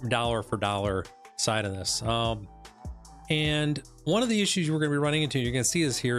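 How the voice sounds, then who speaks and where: A hoarse man whose voice is giving out talks through a business report on a live stream. He rambles with fillers and restarts, a business coach in casual mode. Faint music plays underneath. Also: mid-range voice. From West Michigan, United States. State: hoarse, losing his voice.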